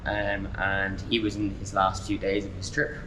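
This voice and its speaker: A young Irish man in his twenties talks easily as an interview guest, with a lowish voice. He leans on "um" and "like" as he goes. The recording has a clear hum and rumble behind him. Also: moderate pace. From Ireland. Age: 20s. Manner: relaxed, frequent fillers like "um" and "like". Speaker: traveler guest. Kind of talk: casual conversational interview.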